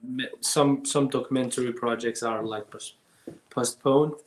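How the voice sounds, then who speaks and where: Slow, halting man in his thirties from Iraq. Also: medium pitch, steady tone, echoey room, slight background noise, low-quality recording. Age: 30s. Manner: halting, many restarts and fillers. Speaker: guest filmmaker. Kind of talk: casual interview over video call. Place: home during lockdown. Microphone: video call.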